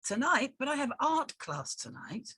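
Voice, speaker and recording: A woman playing a quiet, fresh-faced man in his twenties, hesitant and bemused, in a script reading. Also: sits high, big pitch swings, unhurried, no room sound, clean studio sound, heavily acted. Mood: bemused, protesting. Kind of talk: script table-read acting.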